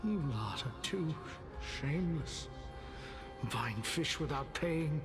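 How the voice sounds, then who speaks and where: An actor playing a sorrowful lost soul of a fishmonger in a video game, halting and repetitive in a plaintive way. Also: male voice, a little high, lively intonation. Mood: aggrieved. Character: sorrowful dead fishmonger soul, lost and stuck repeating himself. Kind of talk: scripted game dialogue. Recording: clear music behind the voice.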